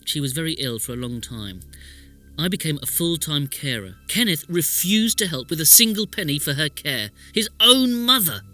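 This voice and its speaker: An actor as a bitter, high-voiced village man. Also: expressive, normal speed, sharp tone, soft background music. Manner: aggrieved, emphatic. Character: bitter, resentful brother of a wealthy village lord. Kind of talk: podcast audio drama acting.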